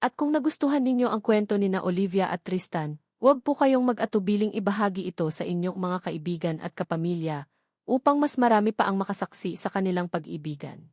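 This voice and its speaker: Soft-spoken woman reading a love story. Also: mid-range voice, expressive, no room sound, studio quality. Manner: soft, heartfelt, measured reading. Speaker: storyteller and author. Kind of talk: scripted story narration.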